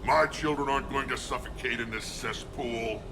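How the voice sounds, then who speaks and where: An actor playing a proud, stubborn alien clan leader in a video game, blunt and commanding. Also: male voice, medium pitch, normal speed, background noise, lightly acted. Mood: determined.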